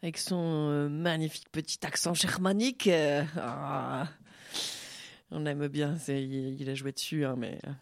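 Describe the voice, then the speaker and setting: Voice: medium pitch, steady tone, measured pace, vocal fry, slight background noise. A presenter talking casually and unscripted between music tracks, in a hesitant, rambling, informal way.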